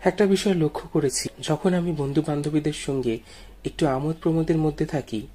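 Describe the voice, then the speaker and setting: A man narrating a first-person ghost story in a brooding, reflective, measured way. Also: fairly high pitch, warm tone, a little hiss or hum.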